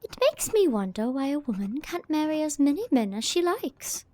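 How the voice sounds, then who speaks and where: A woman playing a sweet, excitable young Victorian lady who speaks politely and effusively in an audio drama. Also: sits high, normal speed, slight background noise. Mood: wistful, dramatic. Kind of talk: audio drama letter narration and dialogue.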